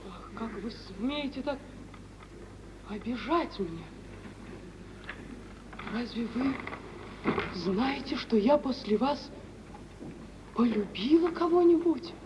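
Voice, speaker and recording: A woman's voice: fairly high pitch, animated, slow, pauses often. An actress playing a proud, wounded, forsaken young woman in an old Russian radio play, with emotional theatrical delivery. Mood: hurt, indignant. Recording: muffled, noisy 1950s radio play recording.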